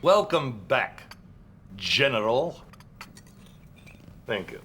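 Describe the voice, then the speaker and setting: A man playing a grandiose, smoothly flattering Soviet premier with a Russian accent in a video game cutscene. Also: medium pitch, a little hiss or hum, full character voice. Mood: flattering, solemn. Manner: slow, pompous oratory. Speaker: video game character actor. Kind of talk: dramatic game cutscene acting.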